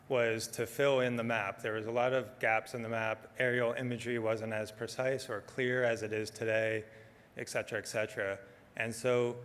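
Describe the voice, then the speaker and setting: A soft-spoken middle-aged man giving a relaxed conference talk, with frequent ums as he walks through slides. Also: medium pitch, big-room sound. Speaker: conference speaker. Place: conference hall.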